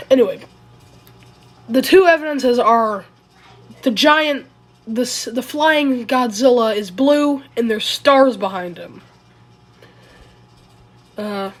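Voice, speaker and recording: A woman who is sick and raspy, talking through a casual commentary video in a rambling, hesitant way and often correcting herself. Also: fairly high pitch. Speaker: video creator.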